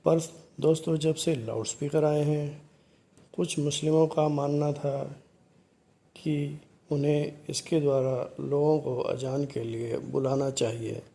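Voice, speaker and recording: A man giving explanatory commentary to viewers in short, halting phrases, as the narrator of a video. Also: fairly high pitch, fairly even intonation, creaky, mellow.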